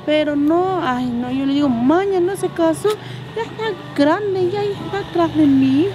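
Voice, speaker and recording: Woman doing a grumbling mom who can't stand her son's girlfriend, high voice, music underneath. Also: a little slow, muffled recording. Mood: complaining. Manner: complaining, gossiping. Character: disapproving mother of an absent son. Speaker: mother. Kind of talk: amateur web drama acting. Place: outdoors on a grassy lot.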